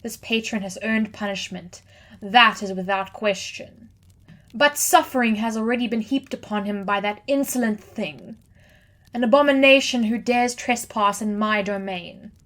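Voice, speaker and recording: A woman performs a regal female deity of vengeance for an audio drama. She is imperious and wrathful, speaking in grand, formal declarations at a natural middle pitch. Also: normal speed, a bit bright, natural voice. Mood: righteous anger.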